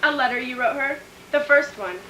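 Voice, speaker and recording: Radio thriller heroine, female actor: young, resolute, high-pitched and sharp, in a reverberant room. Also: normal speed, slight background noise. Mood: accusing, urgent. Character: determined young woman confronting a sinister employer. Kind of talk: old-time radio thriller acting.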